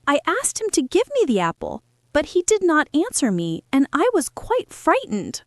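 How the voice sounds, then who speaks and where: A tearful little girl from a read-aloud fairy tale, as a woman puts her on. Her voice is high and trembling, and she pleads. The recording is close and dry. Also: wildly swooping pitch, normal speed, no echo. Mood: frightened. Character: young girl, frightened and tearful.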